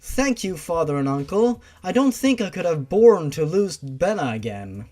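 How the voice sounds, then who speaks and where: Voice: mid-range voice, very animated, moderate pace, dark tone. A narrator voicing an earnest, devoted young daughter in a horror story reading. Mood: grateful.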